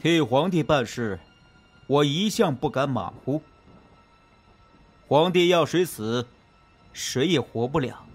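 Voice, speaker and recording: A man playing a cold, loyal imperial minister in a Chinese period audio drama, formal, deliberate and coolly menacing. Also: on the high side.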